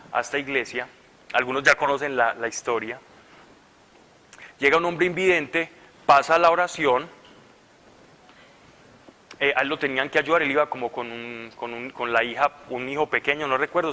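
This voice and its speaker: Forceful man preaching in an echoey hall. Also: mid-range voice. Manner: animated, conversational, laughing at his own stories. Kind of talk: sermon with storytelling. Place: large hall at a retreat.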